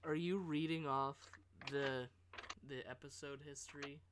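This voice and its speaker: A woman with a very low voice co-hosts a late-night podcast with friends. She teases the others in a dry, flat way. Her mic picks up a steady background noise. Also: measured pace. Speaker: podcast co-host. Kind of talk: casual chat among friends.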